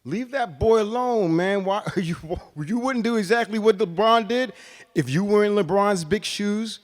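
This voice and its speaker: Man acting out exasperated, high-pitched street-talking guy. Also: measured pace, big-room sound. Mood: heated, mocking. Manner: heated, slangy, emphatic.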